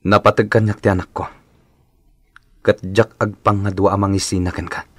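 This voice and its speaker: A man's voice: low voice, level tone, normal speed, dry recording. A man playing an aggrieved, resolute husband in a radio drama, firm and reproachful.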